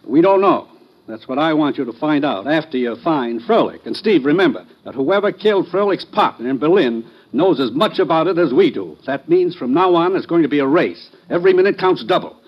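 An American actor playing a brisk, authoritative commissioner in a 1950s radio drama, with a husky voice. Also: male voice, a little high, animated. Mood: urgent. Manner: brisk, commanding. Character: urgent, authoritative commissioner giving secret assignments, adult man. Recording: dull, muffled vintage radio recording.

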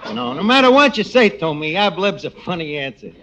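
An actor playing a brash, scheming tavern manager with a New York accent and slangy, faux-genteel fumbling speech, in 1940s radio comedy style. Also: male voice, high voice, big pitch swings, fairly quick, a bit bright. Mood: breezy, wisecracking. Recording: noisy dull vintage radio recording.

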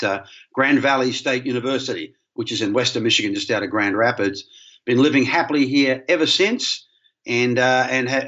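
A man with an Australian accent, a historian guest answering podcast questions in a measured, thoughtful way. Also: medium pitch, fairly bright tone, dull audio. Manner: measured and thoughtful, hesitant between phrases.

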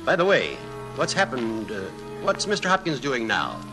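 Earnest, polite fellow, medium pitch, 1940s radio acting, music on the old recording. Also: male voice, huge pitch swings, normal speed. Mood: admiring, hesitant. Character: polite, admiring fellow named Dewey, sympathetic and awkward.

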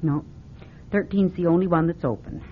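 An actress playing a curt, unwelcoming motel clerk in an old radio drama. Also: female voice, on the low side, normal speed, a little hiss or hum, natural voice. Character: terse small-town woman motel clerk, curt. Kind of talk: 1970s radio drama acting. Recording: tinny old radio broadcast.

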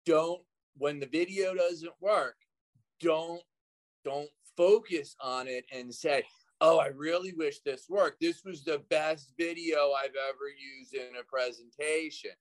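A man, a speaking educator, chatting as a podcast guest in a relaxed, agreeable way. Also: fairly high pitch, measured pace. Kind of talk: casual interview chat.

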